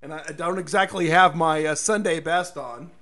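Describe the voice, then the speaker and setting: A middle-aged American man hosting a casual solo radio show, rambling and folksy, with a slightly hoarse voice. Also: fairly high pitch, moderate pace, sharp tone. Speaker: radio and podcast host.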